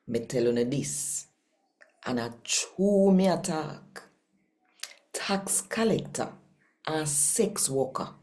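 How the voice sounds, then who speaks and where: Very deep, unhurried Jamaican woman. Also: dark tone. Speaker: channel host and scripture reader. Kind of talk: devotional reading and channel welcome.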